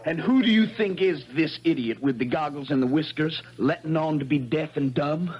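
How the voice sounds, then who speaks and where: An actor playing an earnest, dogged American country lawyer in a 1970s radio drama, husky and folksy, with dramatic insistence. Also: male voice, fairly high pitch, normal speed, dull audio. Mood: triumphant, insistent.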